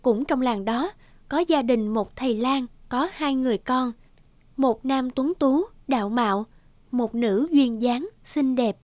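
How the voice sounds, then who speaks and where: High-pitched woman narrating a folktale. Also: a little slow, darkish tone, no room sound, studio quality. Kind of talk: scripted storybook narration.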